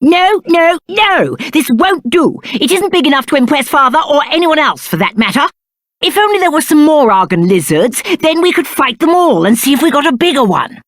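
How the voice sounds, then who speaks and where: Spoiled young game prince, British accent, high-pitched, very animated, haughty, petulant, aristocratic diction. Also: male voice, normal speed, no echo, clean studio sound, heavily acted. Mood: petulant, boastful. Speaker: video game voice actor. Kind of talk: theatrical video game character acting.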